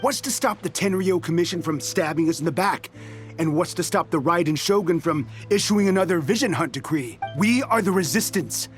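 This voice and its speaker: A man's voice: sits high, lively intonation, brisk, faint music behind. A man voicing a proud, distrustful, hot-headed resistance soldier in an anime game, with an emphatic manner. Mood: defiant. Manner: boastful, challenging, emphatic.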